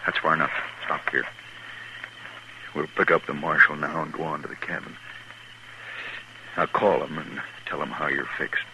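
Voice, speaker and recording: Casting: nervous American frontier schemer, sharp and tense, old radio drama with faint noise. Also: male voice, rumbling low, little pitch movement, moderate pace, pauses often, full character voice. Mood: threatening. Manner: tense, edgy, urgent. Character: shifty, nervous frontier gambler turned informer and schemer. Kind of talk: 1950s western radio drama acting. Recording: noisy vintage radio recording.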